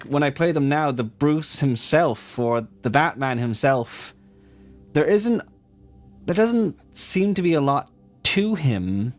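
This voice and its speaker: A man giving casual, rambling gameplay commentary as a video host, halting as he thinks aloud. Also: on the high side. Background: faint music.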